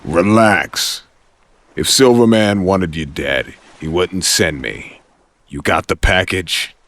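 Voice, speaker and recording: A man's voice: sits low, takes it slowly, a bit bright, heavily acted. A man playing a tough, impatient mob enforcer in an audio drama, curt and threatening. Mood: cool menace. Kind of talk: audio drama villain acting.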